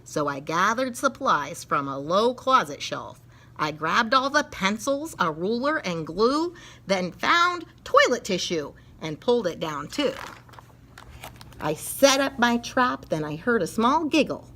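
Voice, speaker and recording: A woman reading a rhyming picture book to children with expressive, dramatic, measured storytelling. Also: mid-range voice.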